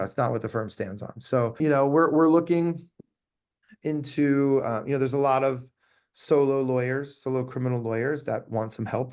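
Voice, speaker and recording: Male business owner in interview, medium pitch, conversational delivery that leans hard on repeated key phrases. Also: a little mellow. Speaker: law firm owner being interviewed.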